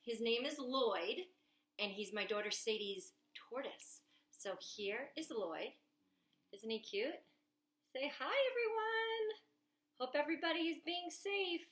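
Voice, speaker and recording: Animated woman reading to children. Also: high-pitched. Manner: animated, slow and expressive for children. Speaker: children's librarian. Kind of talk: children's story time read-aloud. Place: home living room with a couch. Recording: echoey room.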